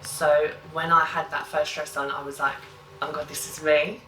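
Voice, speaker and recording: Low flat woman. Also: normal speed, a little hiss or hum. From East London. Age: 20s. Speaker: interviewee. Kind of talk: documentary interview. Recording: roomy, distant mic.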